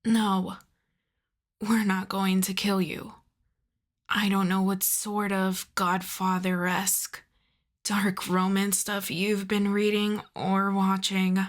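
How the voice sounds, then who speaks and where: A woman's voice: mid-range voice, close to an everyday voice. A woman playing an exasperated but courteous mafia boss in a roleplay audio drama, wry, sardonic and conversational. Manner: wry, sweary, conversational, addresses listener as darling.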